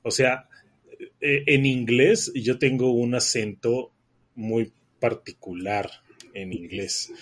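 A man with a northern Mexican accent talks through a casual podcast chat, rambling and circling back with fillers and restarts. His voice is husky and slightly breathy, at an ordinary middle pitch for a man. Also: fairly even intonation, slow. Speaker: podcast co-host.

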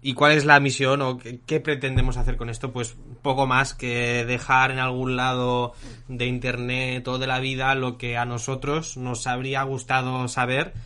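A Spanish man in his late twenties with a bright, clear tone. He talks in a relaxed, thoughtful way as co-host of a casual podcast and now and then restarts a word mid-sentence. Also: mid-range voice. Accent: Spain. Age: 20s. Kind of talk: casual chat. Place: attic room at his parents' house.

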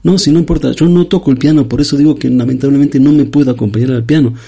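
A man's voice: mid-range voice, steady tone, quick pace, vocal fry, dark tone, breathy edge. A man voicing a pompous, absurd aging bolero crooner who is a guest on an interview show, in a comedy sketch. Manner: grandiose, addresses host as young man.

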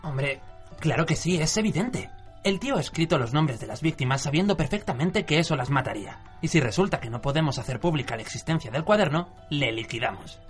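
Man as a high, swooping hot-headed cop. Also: animated, a little fast, soft background music, natural voice. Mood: indignant, vengeful. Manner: colloquial, emphatic. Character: blunt, hot-headed male police investigator. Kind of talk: radio drama adaptation of anime.

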